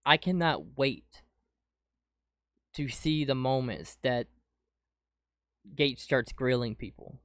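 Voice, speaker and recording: Man, podcast host, excitable, hoarse from a cold, dry close-mic sound, mid pitch. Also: takes it slowly, long pauses, dry recording. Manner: excitable, says "dude" often. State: losing his voice, getting sick. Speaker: podcast host reacting to news. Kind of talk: casual commentary over clips. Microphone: close desk mic.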